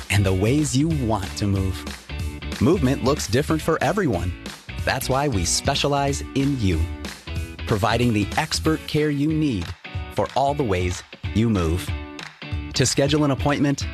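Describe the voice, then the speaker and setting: A man reads a scripted radio commercial as announcer. His pitch is average, and guitar music plays clearly behind him. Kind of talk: scripted radio advertisement read. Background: guitar music bed.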